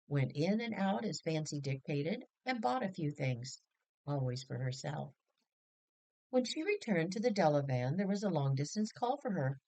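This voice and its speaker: A woman reading a story aloud as scripted narration, in an expressive storytelling manner. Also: low voice, rough recording. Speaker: audiobook reader.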